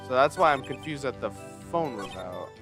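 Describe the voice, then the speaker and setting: A man's voice: mid-range voice, expressive, normal speed. A man who speaks English as a second language, doing casual, self-mocking commentary while he plays a video game and thinks aloud. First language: not English. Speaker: streamer playing a video game. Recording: music behind the voice. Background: game music.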